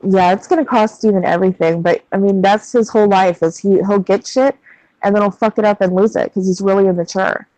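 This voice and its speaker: A woman's voice: mid-range voice, fairly quick, warm tone, no echo, low-quality recording. A woman in her thirties in casual chat, venting bluntly with plenty of swearing. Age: 30s.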